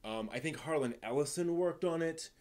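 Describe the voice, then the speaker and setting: A man with an American accent hosting a casual live stream, answering viewer questions in a halting, rambling, think-aloud way. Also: on the high side, darkish tone. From East Coast of the United States.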